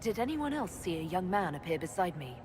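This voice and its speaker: Determined heroine, low pitch, measured, direct, game drama, clear background noise. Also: female voice, very animated. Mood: firm composure. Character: composed, determined heroine of fantasy quest. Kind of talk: dramatic video game voice acting.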